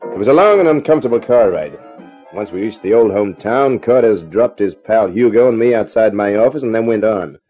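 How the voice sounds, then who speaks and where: An actor playing a wisecracking, sardonic private detective with slangy, terse delivery in a 1950s radio crime drama. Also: male voice, on the low side, lively intonation, fairly quick, dark tone, soft background music, natural voice. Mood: terse narration. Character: wisecracking, hard-boiled male private detective. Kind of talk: 1950s radio detective acting with first-person narration. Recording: dull old radio recording.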